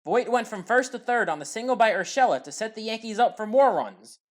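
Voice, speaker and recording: A woman giving a scripted play-by-play voice-over of game highlights, in a steady recap delivery that lifts on big plays. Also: a little fast. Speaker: highlights commentator.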